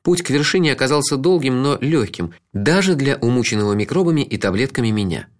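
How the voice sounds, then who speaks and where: Brief: male narrator with a mid-pitched, animated voice, wry reflective storytelling. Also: quick pace. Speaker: audiobook narrator. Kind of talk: first-person literary narration.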